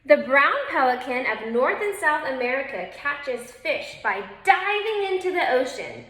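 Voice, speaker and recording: Woman, elementary teacher, for a children's read-aloud; unhurried, instructive delivery speaking straight to kids, in an echoey room. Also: high-pitched, big pitch swings, fairly bright tone. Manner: instructive, addresses young listeners directly. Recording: roomy, echoing indoor sound.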